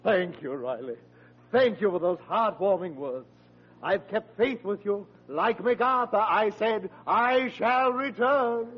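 An actor playing a pompous, sponging old veteran uncle, speaking in a grandiloquent, unruffled radio sitcom manner. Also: male voice, high-pitched, lively intonation, slow, husky, exaggerated voice. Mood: grandiose. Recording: muffled, hissy vintage radio recording.

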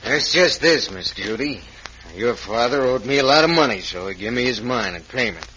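Scheming western mine boss, American drawl, vintage muffled radio drama. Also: male voice, mid-range voice, lively intonation, moderate pace, sharp tone, a little hiss or hum. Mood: falsely friendly. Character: scheming crooked western mine owner, feigning folksy generosity. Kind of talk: old-time radio western acting.

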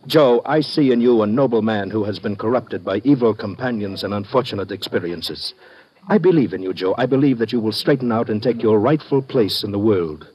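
An actor playing a sanctimonious, sly little moneylending gent who preaches pompously in an old-time radio drama. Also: male voice, lowish voice, a little fast, a little mellow, lo-fi sound, lightly acted. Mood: preachy, pious.

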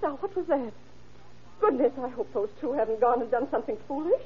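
A woman's voice: high voice, expressive, a little fast, dull audio, background noise. An actress playing an anxious, trusting older mother in a fretful, earnest old radio drama style. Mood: worried. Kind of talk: vintage radio drama acting.

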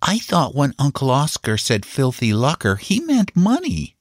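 A man's voice: medium pitch, huge pitch swings, measured pace, dry recording, clean studio sound, voice pushed up, full character voice. A man voicing a timid, earnest young boy full of questions in an audiobook story. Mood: timid, curious.

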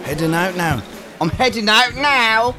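A man's voice: high-pitched, animated, measured pace. An actor in an audio drama playing a broke, foul-mouthed working-class British man who gripes in slang. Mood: brash, impatient. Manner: slangy, griping.

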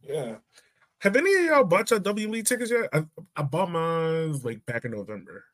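Lively man hosting a sports podcast. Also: on the high side, normal speed. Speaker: podcast host. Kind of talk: casual sports podcast chat.

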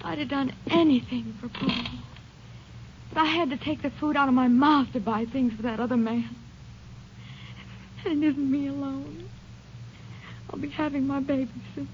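An actress playing a distraught, pleading young pregnant wife in a 1950s radio drama. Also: female voice, high voice, steady tone, normal speed, long pauses, lo-fi sound. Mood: defensive anguish. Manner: pleading, tearful. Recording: old band-limited radio recording with hiss.

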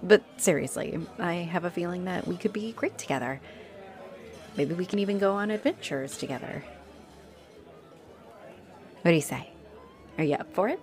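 A woman's voice: lowish voice, animated, normal speed, pauses often, a little mellow, some chatter behind, lightly acted. A woman playing a friendly, teasing, flirty female halfling orc adventurer in a roleplay audio addressed to the listener. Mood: warm, earnest. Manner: teasing, chuckling, coy. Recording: noticeable noise behind the voice.

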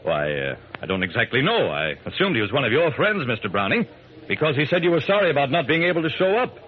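A man's voice: medium pitch, wildly swooping pitch, quick pace, narrow, tinny audio. A man playing a courteous, slightly hesitant hospital superintendent in an old-time radio drama. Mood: pleased, hesitant. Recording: band-limited old radio broadcast.

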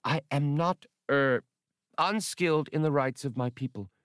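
A man's voice: a little high, measured pace, a bit bright, clean tone, dry recording, studio quality, pitched above the performer's own voice. A man voicing a proud, fierce female feline alien mother, solemn and formal. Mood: defiant.

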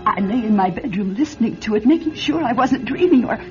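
An actress playing an anxious, sleepless wealthy widow in a radio drama, fretful and halting, often repeating her words. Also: a little high, expressive, natural voice. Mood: frightened, pleading. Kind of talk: old-style radio drama acting. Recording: dull, husky-sounding radio recording with music.

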